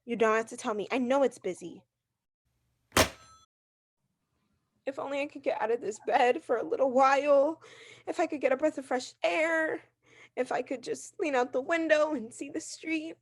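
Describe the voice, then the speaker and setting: A woman's voice: high voice, fairly quick. A woman playing a nervous, imperious bedridden wife in a theatrical radio drama, agitated and haughty as she complains. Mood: impatient. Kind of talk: theatrical 1940s-style radio drama acting.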